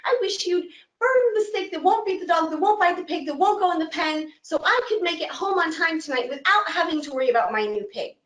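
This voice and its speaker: A woman voicing a fretful old woman who begs and scolds her animals, in a high singsong. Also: lively intonation, a little fast, a bit bright, reverberant, distant mic. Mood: pleading. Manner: high pleading singsong repetition.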